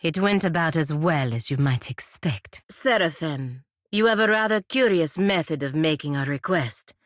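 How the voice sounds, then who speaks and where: An actress voicing a proud, stern, dutiful woman knight and envoy in a video game, speaking in a formal, measured, dry manner. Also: low-pitched, animated, no echo. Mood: dry, wry. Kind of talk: video game character dialogue.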